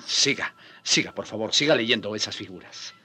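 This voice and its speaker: A man's voice: on the low side, lively intonation, moderate pace, bright, forceful tone, faint music behind. An actor playing a compassionate, inquisitive old priest in a radio drama, with a questioning, fatherly manner. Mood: intrigued. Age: older.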